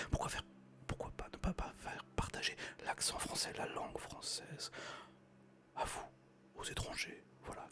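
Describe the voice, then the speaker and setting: A whispering ASMR streamer from France, chatting with viewers and slowly reading a novel aloud. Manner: soft, slow, soothing whisper.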